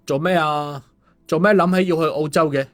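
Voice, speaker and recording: A man's voice: fairly high pitch, steady tone, normal speed, a bit bright, soft background music, close to an everyday voice. A man voicing an easygoing, concerned male story hero in colloquial audiobook dialogue. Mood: questioning.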